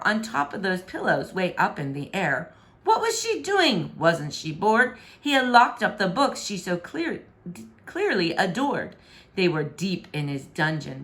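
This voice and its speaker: A woman reading rhyming children's fiction aloud in an expressive, theatrical, emphatic way. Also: medium pitch. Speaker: storybook reader. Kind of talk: animated, rhyming children's story narration.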